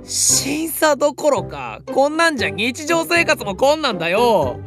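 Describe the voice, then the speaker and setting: A man playing a carefree, friendly, boyish younger brother in an audio drama, casual and excitable in manner. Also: very high-pitched, measured pace, exaggerated voice. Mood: flustered. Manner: casual, excitable, good-natured. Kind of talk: animated Japanese audio drama acting. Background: music and animal sounds.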